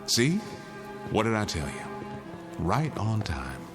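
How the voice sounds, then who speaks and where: A man's voice: on the low side, very animated, takes it slowly, over music. An actor playing a weary, insistent condemned man pleading his case in a radio drama. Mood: weary, resigned. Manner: insistent, pleading, arguing in rhetorical questions. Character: condemned male prisoner insisting the world is his nightmare, weary, urgent.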